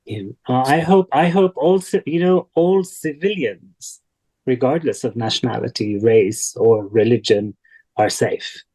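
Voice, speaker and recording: A man from Kuwait, speaking thoughtfully and deliberately in a reflective interview over a video call. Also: mid-range voice, mellow, breathy edge, poor audio. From Kuwait, of Palestinian parents. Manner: thoughtful, deliberate, with repetition for emphasis. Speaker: interview guest. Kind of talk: reflective personal interview talk.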